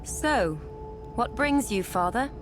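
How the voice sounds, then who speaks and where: Stern, sardonic, expressive ancient sister. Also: female voice, a little high, slow, music in the background. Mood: dry, sarcastic. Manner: formal, scornful. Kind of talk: video game voice acting.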